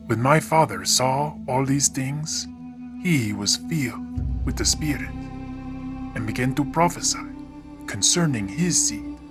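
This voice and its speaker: A man narrating scripture from a script in a solemn, measured, deliberate manner. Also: medium pitch.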